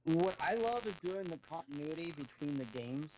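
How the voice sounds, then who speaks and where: A man's voice: a little high, animated, moderate pace, a little mellow, slight background noise, poor audio. A man as a podcast guest, chatting casually about video games like an eager fan explaining details.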